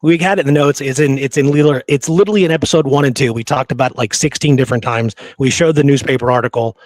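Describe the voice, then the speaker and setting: A man's voice: mid-range voice, steady tone, fast, no echo. A man hosting a casual history discussion, speaking in an emphatic, argumentative and exasperated way. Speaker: podcast host.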